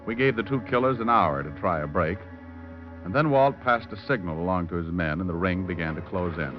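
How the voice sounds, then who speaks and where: Actor as low-voiced, hard-boiled radio private eye. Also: male voice, fairly quick, lightly acted. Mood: grim, wry. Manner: sardonic, clipped tough-guy wisecracks. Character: wry, hard-boiled male private detective narrating his case. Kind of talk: 1940s-50s radio detective drama acting. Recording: muffled old radio recording, music behind.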